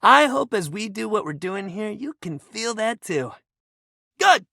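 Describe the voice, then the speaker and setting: A man's voice: high voice, sing-song, unhurried, creaky, bright, forceful tone, no room sound, clean studio sound, full character voice. A man voicing a video game character, a living shuttlecock who is an upbeat, laid-back young sports coach with slangy, encouraging, bro-ish talk. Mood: warm, encouraging.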